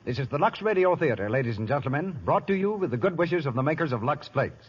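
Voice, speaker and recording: A man's voice: on the high side, expressive, fast talker, soft background music. An American man announcing an old radio theater broadcast in a formal, grandiloquent scripted style. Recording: dull old radio recording.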